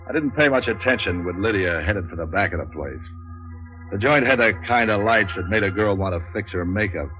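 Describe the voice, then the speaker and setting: An actor playing a cynical, wisecracking American private troubleshooter in a clipped, sardonic 1940s radio noir style. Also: male voice, deep voice, lightly acted. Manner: clipped, sardonic, tough-talking. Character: hard-boiled, wisecracking male private troubleshooter, world-weary and cynical. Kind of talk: 1940s radio noir acting and first-person narration. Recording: dull 1940s radio recording with music.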